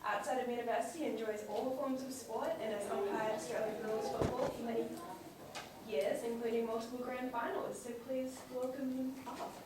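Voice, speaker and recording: A woman presenting at an event, briskly reading out a speaker's introduction in a lecture theatre. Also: a little high, steady tone. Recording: very echoey hall, distant, noisy.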